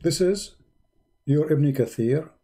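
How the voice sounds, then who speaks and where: A man's voice: on the high side, takes it slowly, warm tone, slightly rough. A man hosting a live call-in debate, pressing a caller with repeated questions. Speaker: debate host.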